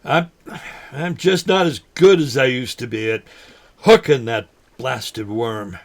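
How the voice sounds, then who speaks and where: A man playing a forgetful, folksy older man who talks haltingly, in a naturalistic drama. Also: medium pitch. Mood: wistful. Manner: halting, folksy, mild exclamations. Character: forgetful old man, folksy, nervous, teasing lifelong fisherman. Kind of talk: naturalistic short film drama acting.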